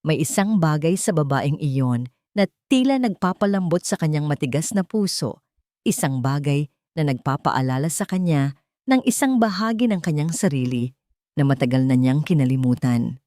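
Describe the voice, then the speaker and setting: Lower-pitched Filipino woman narrating, dry sound. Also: lively intonation, moderate pace, mellow, no echo. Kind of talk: scripted dramatic story narration.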